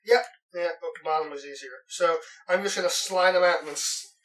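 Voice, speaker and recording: A man's voice: a little high, fairly quick, recorded from a distance, background noise. A young man with a cold, chatting casually while unboxing, rambling with lots of "uh"s and restarts, recorded in his bedroom. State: has a cold. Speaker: unboxing vlogger. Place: bedroom at home.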